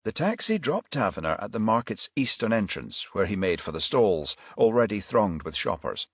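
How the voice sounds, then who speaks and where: A man's voice: lowish voice, very animated, normal speed, breathy edge, no room sound, studio quality. A man reading a crime thriller audiobook as scripted narration.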